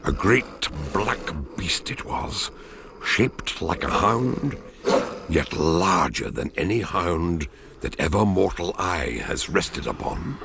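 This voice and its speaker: A grave British patriarch tells a gothic family curse in a very low voice full of creak. His delivery is ominous and deliberate, in solemn archaic radio-drama style, with clear atmospheric noise around him. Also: very animated, full character voice. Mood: ominous dread. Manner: slow-building, ominous, solemn, archaic storytelling. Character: grave ancestor recounting a dreadful family legend of a demon.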